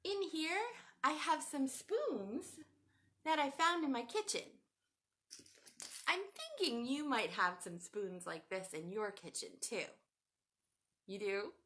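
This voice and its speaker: A woman hosting a children's craft lesson at home, talking straight to young viewers in an animated, sing-song way. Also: fairly high pitch, slow, pauses often, slight background noise. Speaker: children's show host. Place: home room with a cat nearby.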